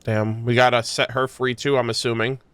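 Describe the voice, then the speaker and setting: A woman streamer giving casual, expressive reaction commentary on a game, informal and prone to swearing. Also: extremely low pitch, moderate pace, smooth, no room sound.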